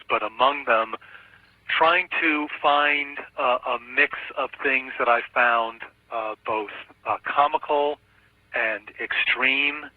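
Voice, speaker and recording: Middle aged guy being interviewed over the phone, kinda husky, thoughtful explaining, tinny line. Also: mid-range voice, dry recording. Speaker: interviewed video editor and media artist. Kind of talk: telephone interview. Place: on a telephone call. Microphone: telephone.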